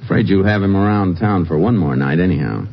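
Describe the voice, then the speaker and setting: A man's voice: low-pitched, expressive, fairly quick, gravelly. A man playing a cool, methodical Texas Ranger investigator in a 1950s radio drama, speaking matter-of-factly. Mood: calm, matter-of-fact. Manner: matter-of-fact. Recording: muffled, noisy old radio recording.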